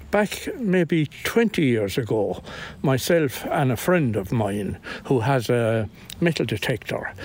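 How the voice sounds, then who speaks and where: An older man from County Kilkenny with an Irish accent, telling local memories in a halting, unscripted way outdoors. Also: mid-range voice, a little mellow. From Inistioge, County Kilkenny, Ireland. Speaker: local interviewee telling folklore. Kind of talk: unscripted reminiscing. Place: outdoors in estate grounds. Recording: outdoor location recording, clear background noise.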